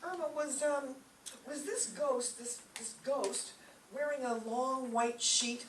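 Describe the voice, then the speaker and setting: A woman's voice: sits high, slow. A woman playing a sensible, long-suffering roommate who spooks easily, with emphatic radio comedy delivery. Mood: uneasy, reasoning. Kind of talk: live old-time radio comedy acting. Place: echoey room, mic at a distance. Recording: roomy, distant live stage recording, faint noise.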